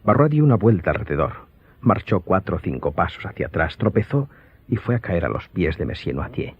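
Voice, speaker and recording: A man giving scripted, dramatic audiobook narration in a husky voice. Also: sits low, sing-song, a little fast. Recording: dull lo-fi sound.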